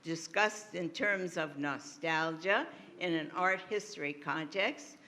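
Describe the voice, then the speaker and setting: A woman's voice: deep voice, takes it slowly, bright, forceful tone. A woman writer at a panel, reading excerpts expressively with emphatic stresses and commenting on them.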